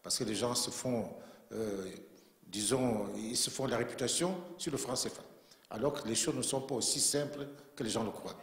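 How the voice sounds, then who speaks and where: A man from Central Africa addresses a conference panel in earnest, emphatic speech, circling back to hammer home his points. His voice sits at an ordinary middle pitch. It carries the long, roomy echo of a large conference hall. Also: moderate pace. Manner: earnest, emphatic, repeats himself for weight. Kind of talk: formal conference remarks. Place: conference room in a bank.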